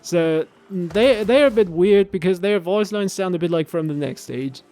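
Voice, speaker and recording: A man's voice: sits high, moderate pace, darkish tone. A man chatting casually as a co-commentator, explaining video game voice lines. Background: video game music and voice clips.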